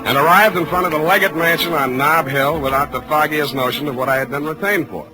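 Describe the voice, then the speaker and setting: A man's voice: a little high, fairly quick, close to an everyday voice, low-quality recording. A man playing a hard-boiled private eye from a 1940s radio play. He is wry, offhand and teasing, with music in the old broadcast recording. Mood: dry dictation. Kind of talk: 1940s radio drama acting.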